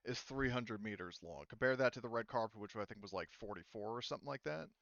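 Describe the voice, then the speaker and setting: A man's voice: on the low side, lively intonation, fast, gravelly, fairly bright tone, no echo, highs cut off. A man running a tabletop roleplaying game, explaining rules in a rambling way full of fillers. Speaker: game master.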